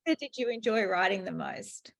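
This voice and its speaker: A woman's voice: mid-range voice, fast talker, gravelly, fairly bright tone. A woman hosting a conversational book interview, effusive and emphatic in her delivery. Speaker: podcast host interviewing an author.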